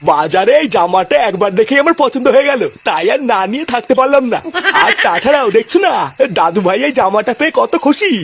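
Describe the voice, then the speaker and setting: A man voicing a crabby, vain old grandfather in a comedy cartoon, husky and animated, given to cursing grumbles. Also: very high-pitched, fast, exaggerated voice. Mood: doting, reassuring.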